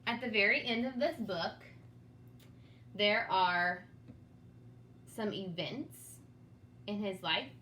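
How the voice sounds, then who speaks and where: A woman's voice: medium pitch, slow pace, long pauses, pushed and bright, slight background noise. A woman reading a storybook aloud for children in a dramatic, teacherly manner, with asides to young listeners. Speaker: storybook reader. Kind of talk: expressive read-aloud for children.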